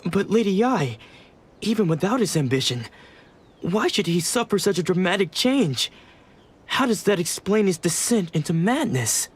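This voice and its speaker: A puzzled young questioner, high-pitched, doubtful, faint hiss. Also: male voice, very animated, normal speed. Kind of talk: video game voice acting.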